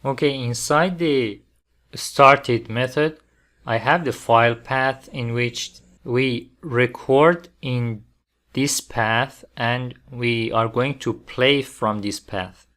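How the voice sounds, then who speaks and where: A man presenting a programming tutorial step by step, phrasing things deliberately in short, halting fragments. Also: mid-range voice, a bit bright. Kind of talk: step-by-step software tutorial narration.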